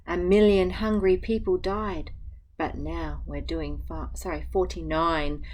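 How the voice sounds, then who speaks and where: An Australian woman, a teacher, reading a picture book aloud to children and chatting gently between pages. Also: on the low side. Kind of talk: storytime read-aloud with asides to children.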